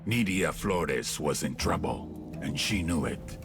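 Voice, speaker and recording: A cartel boss with an extremely low, creaky voice. He narrates cold and menacing, in terse, ominous phrases, as in a crime game film, over clear music. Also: lively intonation, slow pace, heavily acted. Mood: stern, ominous. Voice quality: deep, gravelly. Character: deep, cold, menacing cartel boss telling his story. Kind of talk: dramatic crime-story narration. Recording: music behind the voice.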